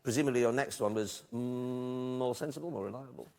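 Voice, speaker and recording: A man's voice: medium pitch, a little fast, dark tone. A man hosting a studio chat show, interviewing a guest in a teasing, jokey way. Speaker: host. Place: TV studio with live audience. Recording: cheering studio crowd and noise.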